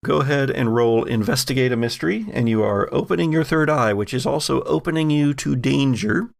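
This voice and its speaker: A man with a gravelly voice narrating a tabletop horror game as game master, deliberate and dramatic in his storytelling. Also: medium pitch, no echo, studio quality.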